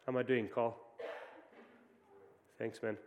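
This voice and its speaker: A man's voice: mid-range voice, flat delivery, a little slow, highs cut off, slight background noise. A man with a South African accent, preaching a conversational sermon with frequent restarts. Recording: long hall reverb.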